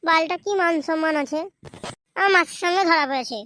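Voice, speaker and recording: A man's voice: very high voice, a little fast, pushed and bright, slight background noise. A man voicing a brash, irritable young village man in a comedy cartoon, foul-mouthed. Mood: irritated, muttering. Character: brash young village man, runaway son back with his bride. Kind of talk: comedy cartoon dubbing in colloquial village speech.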